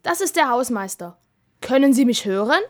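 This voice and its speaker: A woman's voice: on the high side, animated, normal speed, close to an everyday voice. A female amateur playing a sensible, businesslike police investigator in an audio drama, speaking in a matter-of-fact way. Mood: alert.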